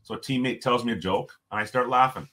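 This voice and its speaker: A man from Ontario with a loud voice, telling stories as a podcast guest in an animated, emphatic way. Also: mid-range voice, quick pace. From Canada, Ontario. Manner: animated storyteller, emphatic, frequent restarts. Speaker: podcast guest, former hockey player. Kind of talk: casual storytelling interview.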